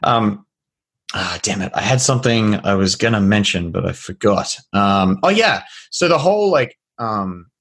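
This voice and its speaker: A man with a lowish, husky voice chats loosely on a podcast, thinking out loud with plenty of fillers. He sounds relaxed and informal and swears lightly now and then. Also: normal speed. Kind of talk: casual podcast conversation.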